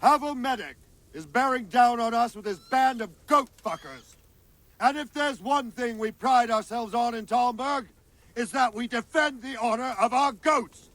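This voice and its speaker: Game role: brash, sardonic medieval troop leader, unusually high, raised voice. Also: male voice, moderate pace, fairly bright tone, dry recording. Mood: rousing, sardonic. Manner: declamatory, sardonic. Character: brash, crude medieval lord rallying his troops. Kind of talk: dramatic game cutscene acting.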